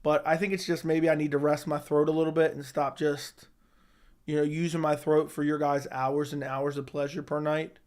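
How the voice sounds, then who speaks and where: A slightly hoarse young man hosting a casual live chat, answering viewers with blunt opinions. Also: a little high, little pitch movement, moderate pace, a little mellow. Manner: opinionated, talks straight to chat. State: slightly hoarse, losing his voice. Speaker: livestream host answering chat.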